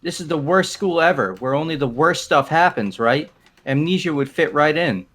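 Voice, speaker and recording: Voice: medium pitch, lively intonation, moderate pace, a bit bright. A performer playing a blunt, jumpy young student in a fan-voiced game, with informal, exasperated delivery. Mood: grumbling.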